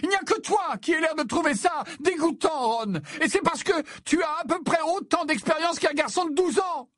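A man voicing a furious, defiant teenage girl from a storybook, shouting and mocking with heavy emphasis. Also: high-pitched, very animated, normal speed, dry recording, studio quality, voice pushed up. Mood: mocking, shrill.

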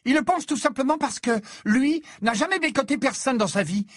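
Man acting out a furious teenage girl, audiobook character, very high pitch, wildly swooping, mocking, defiant, emphatic. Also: sing-song, moderate pace, no echo, studio quality. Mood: raging.

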